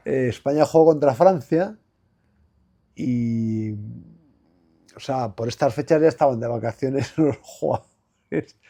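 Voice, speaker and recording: A man's voice: medium pitch, takes it slowly, creaky, long pauses, mellow. A man in his sixties from Madrid with a Madrid Spanish accent, a former athlete speaking as an interview guest in a reflective, rambling way with many fillers and restarts. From Spain. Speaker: guest, former basketball player. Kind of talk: relaxed conversational interview.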